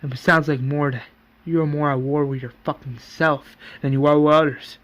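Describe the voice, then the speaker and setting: A man's voice: a little high, steady tone, moderate pace, a bit bright, natural voice. A man voicing an outraged, confrontational woman in a survival story, profane and insistent with accusing questions. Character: outraged, confrontational woman challenging her leader.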